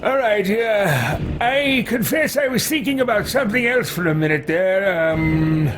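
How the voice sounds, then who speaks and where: Slow-talking male gamer, highish voice. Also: animated. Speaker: gamer, livestream host. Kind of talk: improvised let's play roleplay commentary. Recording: clear noise behind the commentary.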